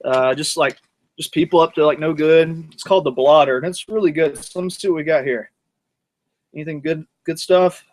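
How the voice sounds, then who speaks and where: A man hosts his grilling show outdoors, rambling and cracking jokes between tasks. His voice sits a little high. He chats to the camera while working over the grill. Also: steady tone, a little fast, darkish tone. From North Georgia, USA. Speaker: cooking show host. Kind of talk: casual cooking vlog.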